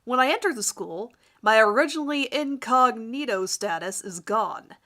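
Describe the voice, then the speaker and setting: Woman playing a smug teenage charmer, lively and bright. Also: a little high, normal speed. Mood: smug, confident. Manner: self-assured, formal wording. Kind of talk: dramatic reading of first-person fan fiction.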